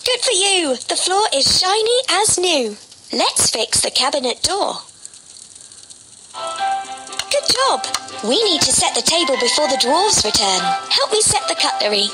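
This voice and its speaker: A woman's voice: sits high, sing-song, a little slow. A woman playing a young, sweet, cheerful princess in a children's storybook game, with an encouraging manner. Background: music.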